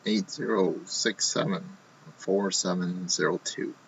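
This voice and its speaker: A man hosting a live card box break, talking casually while he opens packs, his voice going hoarse. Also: deep voice, fairly even intonation, unhurried, highs cut off, slight background noise. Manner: casual, running commentary while opening packs. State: losing his voice.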